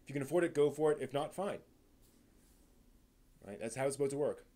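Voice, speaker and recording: Rambling, halting man hosting a live stream. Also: medium pitch, warm tone. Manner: rambling, halting, often restarts sentences. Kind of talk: casual live stream commentary on film and anime news.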